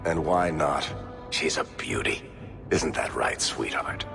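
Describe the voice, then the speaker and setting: A man's voice: a bass voice, slow, creaky, exaggerated voice. An actor voicing a roguish male dwarf in a video game, wry, confident and persuasive. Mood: wry, teasing. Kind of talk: video game dialogue acting. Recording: game music behind the voice.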